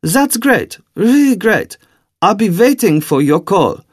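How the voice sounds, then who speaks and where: A man voicing a tall, sly villain in an audiobook, with a soft German accent and a coaxing, theatrical, deliberately courteous delivery. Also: high-pitched, big pitch swings, breathy edge, no room sound, clean studio sound, voice pushed up, full character voice. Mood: impatient, insistent.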